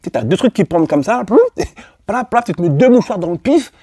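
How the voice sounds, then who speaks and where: Husky, lively-voiced male coach swearing bluntly. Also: high-pitched, fairly quick, no room sound, clean studio sound. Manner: blunt and profane, with emphatic repetition; talks straight to the listener, prodding with questions. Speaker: dating and life coach for women. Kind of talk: motivational monologue addressed to viewers.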